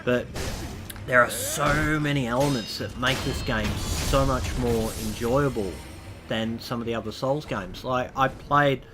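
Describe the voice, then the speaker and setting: A man's voice: medium pitch, a little slow, over music. A man getting over a cold, sounding slightly nasal, gives a rambling, enthusiastic spoken game review. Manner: rambling and enthusiastic, with loose asides.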